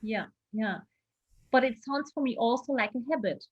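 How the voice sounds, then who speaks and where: A woman's voice: on the high side, moderate pace, slightly rough, no room sound, highs cut off. A woman with a German accent, a non-native speaker interviewing casually and searching for her words.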